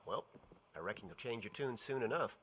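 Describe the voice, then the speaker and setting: An actor playing a roguish, teasing adventurer in a video game, with wry delivery and folksy old-fashioned slang. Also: male voice, medium pitch, animated, fairly quick, fairly bright tone, slight background noise, natural voice. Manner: wry, playful. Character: roguish, teasing adventurer man with frontier swagger. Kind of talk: video game cutscene acting.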